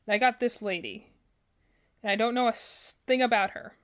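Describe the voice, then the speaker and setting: A woman's voice: a little high, normal speed. A woman giving casual, unscripted reaction commentary as a streamer, rambling and thinking aloud as she goes. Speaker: streamer reacting to game videos.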